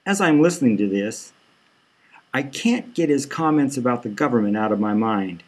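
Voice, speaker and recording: A man reading his own dark satirical novel aloud as a scripted audiobook, in a deliberate, measured way. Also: mid-range voice, animated.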